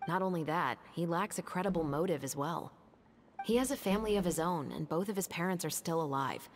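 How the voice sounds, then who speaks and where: An actress playing a calm, methodical detective captain who speaks in a measured, serious way. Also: female voice, on the low side, slight background noise, lightly acted. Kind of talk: video game voice acting.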